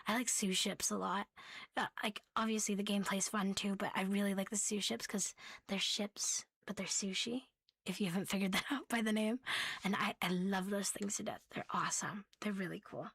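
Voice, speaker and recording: Breathy, playful woman doing soft ASMR. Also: mid-range voice, steady tone, normal speed, no room sound, clean studio sound. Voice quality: soft, breathy, often slipping into whisper. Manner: playful, cutesy catgirl persona, talking to viewers. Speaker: ASMR host. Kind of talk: soft ASMR rambling show-and-tell.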